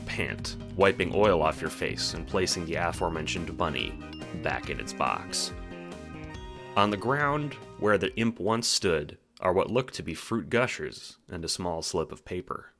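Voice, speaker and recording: A man reading second-person audio drama narration in an animated storytelling manner, with casual swearing. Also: deep voice, measured pace, soft background music.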